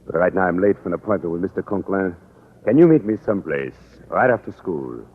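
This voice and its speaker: An amorous middle-aged Frenchman with a French accent who speaks in broken, courtly English. His voice is very deep and swoops widely. It comes through a tinny old radio broadcast with faint hiss. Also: expressive, brisk, husky, full character voice. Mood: earnest. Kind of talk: 1950s radio sitcom acting.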